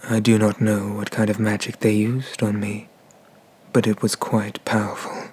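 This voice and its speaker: A man playing a haughty, vengeful dragon god in an ASMR roleplay, speaking with deliberate, disdainful menace and often slipping into whispers. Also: lowish voice, level tone, darkish tone, breathy edge. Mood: brooding, menacing.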